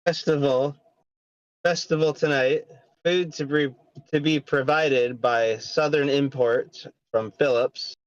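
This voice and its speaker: A man playing an official crier who makes measured proclamations in a script table read, on dull-sounding audio. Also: medium pitch, fairly even intonation, no echo, lightly acted. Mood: formal. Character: official crier announcing a royal festival.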